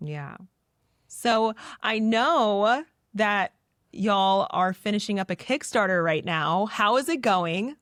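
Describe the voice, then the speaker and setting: A woman's voice: mid-range voice, lively intonation, slow, a bit bright, no echo, studio quality. A woman hosting a podcast, speaking earnestly and reflectively and asking guiding questions. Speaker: podcast host.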